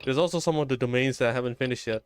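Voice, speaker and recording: Clear-voiced man chatting on a game stream. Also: mid-range voice, rapid-fire, faint music behind. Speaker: game livestreamer. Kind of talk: casual solo gaming stream chatter.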